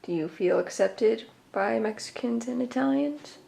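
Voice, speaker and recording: An interviewer asking short questions in a plain, even way. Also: mid-range voice, measured pace, gravelly. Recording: noisy recording.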